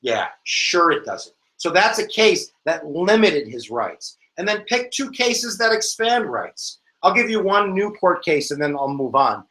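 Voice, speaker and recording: A man with an American accent teaching a casual, joking exam review lesson in a slangy, animated way. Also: sits high, a little slow, hardly any pauses, low-quality recording. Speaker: history teacher.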